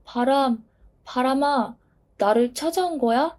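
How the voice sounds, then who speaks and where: A woman's voice: high-pitched, slow pace, darkish tone, voice pushed up. A woman voicing a kind dandelion seed in a storybook reading, soothing and reassuring. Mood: surprised. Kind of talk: storybook voice acting.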